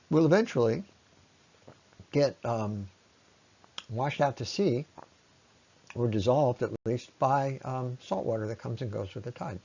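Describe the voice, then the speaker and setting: A man presenting an explanatory slide talk in a conversational, unscripted way, with halting phrasing and frequent pauses. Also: medium pitch, darkish tone, lo-fi sound. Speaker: presenter, ecology lecturer.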